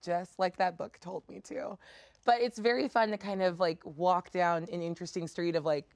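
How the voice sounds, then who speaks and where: A woman's voice: on the low side, steady tone, moderate pace, no echo, clean studio sound. A woman in her thirties chatting casually as a playful, gushing guest on a talk show. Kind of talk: casual chat. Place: indoor studio set.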